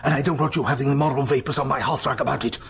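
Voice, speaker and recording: A man's voice: mid-range voice, steady tone, very fast, creaky, fairly bright tone, slightly rough, full character voice. An actor playing a pompous, domineering senior English churchman in a radio drama, blustering and patronising. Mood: blustering, dismissive.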